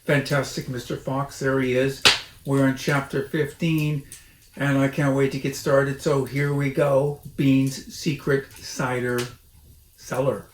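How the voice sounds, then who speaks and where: A man's voice: medium pitch, level tone, slow. A man hosting a story time, reading aloud theatrically with chatty, casual asides. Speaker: storybook reader and host. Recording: roomy echo, faint noise.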